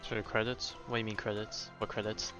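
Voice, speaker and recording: A man's voice: on the low side, level tone, fast. A man giving casual live gameplay commentary, musing aloud in a rambling way. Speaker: game streamer. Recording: dull lo-fi mic, game music behind. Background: video game music.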